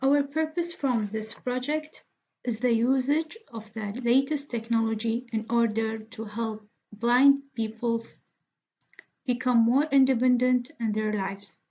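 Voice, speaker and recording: A woman giving a scripted student presentation with a halting delivery. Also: a little high, warm tone. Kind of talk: scripted project introduction. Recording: dull, lo-fi microphone sound.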